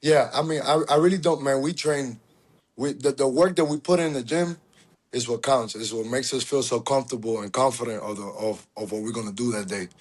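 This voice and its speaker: A man's voice: mid-range voice, a little fast. Confident, humble man from Cuba. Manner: humble, confident, often says "you get me" and "yes sir". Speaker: fighter guest. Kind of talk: casual interview answers.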